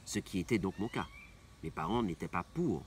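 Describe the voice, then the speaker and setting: A man's voice: deep voice, expressive, normal speed. A middle-aged man from Martinique, an opera singer answering interview questions with passion, repeating phrases for emphasis. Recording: faint background noise.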